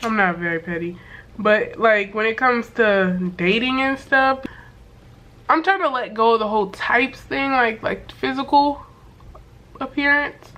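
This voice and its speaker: A young woman with a voice worn hoarse from sickness, telling a story to camera in a loose, slangy way full of fillers and swearing. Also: on the high side, measured pace, bright, forceful tone. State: hoarse from being sick. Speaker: vlogger. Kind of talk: casual storytime chat to camera.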